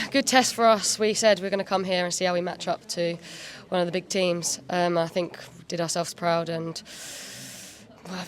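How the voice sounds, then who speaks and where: A woman team captain giving a halting, modest post-match interview pitchside, full of "like" and "um" fillers. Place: pitchside at an outdoor stadium.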